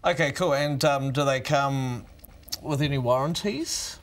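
A man with a medium-pitched voice co-hosts a television show. He talks casually at a studio desk. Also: a little slow. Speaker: TV show co-host.

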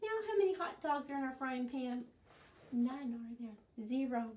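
High-voiced older woman leading children's storytime. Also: a little slow. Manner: expressive, prompting children with questions. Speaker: library children's storytime presenter. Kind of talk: children's storytime, singsong chanting and read-aloud. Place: small furnished living room, indoors. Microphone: camera a few metres away. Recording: roomy, distant camera microphone.

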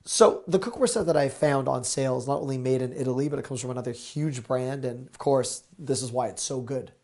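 A man in his thirties hosting a deal show, pitching products to camera in an upbeat, salesy way, a little hoarse. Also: a little fast. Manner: energetic, salesy, gesturing with products. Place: small studio with shelves of gadgets. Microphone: lapel mic.

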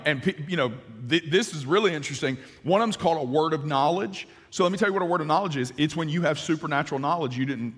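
A man in his forties, a pastor preaching a sermon on a large church stage, animated and gesturing, with frequent restarts. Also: fairly high pitch, slightly rough. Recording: long hall reverb.

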